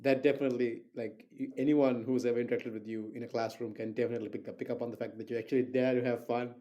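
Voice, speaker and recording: Quick, mellow-voiced young man host. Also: mid-range voice, little pitch movement, lo-fi sound. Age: young adult. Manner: hesitant, filler-heavy, many restarts. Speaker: podcast host. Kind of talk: casual interview chat.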